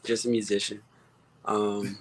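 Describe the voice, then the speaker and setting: A man chatting casually as a guest, answering modestly and haltingly. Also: fairly low pitch, monotone, slightly rough, dry recording. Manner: modest, hesitant, halting delivery. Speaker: guest musician and producer. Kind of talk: casual interview chat.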